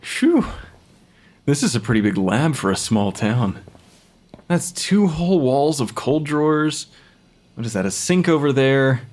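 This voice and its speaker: Young pathologist, medium pitch, nervous, hesitant, apologetic, awkward, audio drama. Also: male voice, lively intonation, a little slow, pauses often, a little mellow. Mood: self-doubting. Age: young adult.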